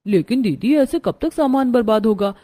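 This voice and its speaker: जुगाड़ू जवान बहू की आवाज़ में एक महिला, मधुर स्वर. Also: female voice, fairly high pitch, expressive, moderate pace, mellow, lightly acted. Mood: practical. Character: practical, resourceful young Indian daughter-in-law in a village family. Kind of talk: Hindi cartoon story dialogue.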